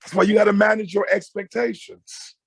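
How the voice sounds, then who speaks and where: A loud, emphatic middle-aged man giving animated, conversational advice as a guest counselor, often repeating phrases to drive them home. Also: high-pitched, fairly quick, vocal fry, breathy edge, no room sound. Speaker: guest marriage counselor and mentor.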